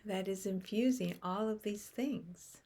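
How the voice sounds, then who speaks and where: A woman leading a guided meditation in a gentle, soothing manner, with phrases that often soften into breath. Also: medium pitch, a little slow.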